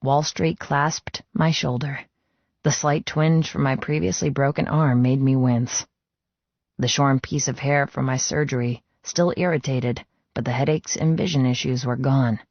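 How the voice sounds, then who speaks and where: A man's voice: medium pitch, steady tone, unhurried, a little mellow, no room sound, dull audio. A man reading first-person fiction as a dramatic, scripted audiobook narration.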